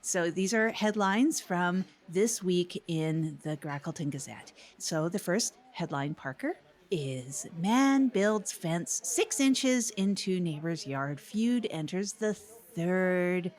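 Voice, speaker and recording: Low-voiced, nervous small-town radio host played by a woman. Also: animated, slow pace, hardly any pauses, darkish tone. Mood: presenting, sheepish. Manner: hesitant, rambling, apologetic, giggly. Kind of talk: improvised-sounding comedy community radio chat.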